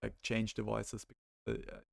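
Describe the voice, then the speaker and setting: A man's voice: on the low side, very animated, moderate pace, vocal fry, no echo. A man hosting a casual chat about video games, rambling and hesitant, his mic cutting out now and then. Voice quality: rambling, hesitant, many restarts. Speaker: host. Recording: close mic with dropouts.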